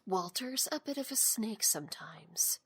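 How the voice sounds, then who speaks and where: A woman playing a cool, self-assured young heiress with a breathy voice and wry delivery in an audio drama. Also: a little high, animated, measured pace, whispered. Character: cool, confident young heiress, slyly confrontational.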